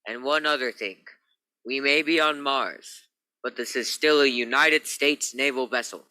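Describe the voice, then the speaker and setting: A stern spaceship captain acted by a man in an old-time radio play. His voice is very bright and forceful, with clipped, authoritative delivery, recorded close and dry. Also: mid-range voice, unhurried, dry recording, rough recording. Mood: stern, grave. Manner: clipped, authoritative orders and solemn announcements. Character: stern, commanding male captain of a naval spaceship.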